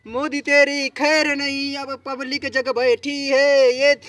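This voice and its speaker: A man at a public gathering, addressing the crowd and singing protest verses in a slow, repetitive, chant-like refrain. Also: extremely high pitch, little pitch movement, fairly bright tone, no room sound. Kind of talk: sung protest verses with short spoken lead-in. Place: public gathering with a seated audience.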